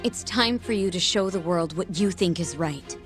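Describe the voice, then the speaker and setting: A woman's voice: lowish voice, normal speed, faint music behind, natural voice. A woman voicing an earnest, resolute character in an English game dub. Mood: serious. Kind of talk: dubbed video game cutscene acting.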